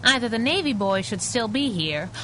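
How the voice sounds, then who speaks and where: A woman's voice: on the high side, expressive, moderate pace, bright, forceful tone, a little hiss or hum. A woman playing a plucky superhero sidekick, sassy, slangy and wry, in 1930s radio adventure style. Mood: wry banter.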